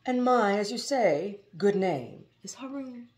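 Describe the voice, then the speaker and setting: A woman's voice: mid-range voice, lively intonation, slow pace, darkish tone, voice pushed up. A woman voicing an earnest, polite young boy in a storybook. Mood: polite, hopeful.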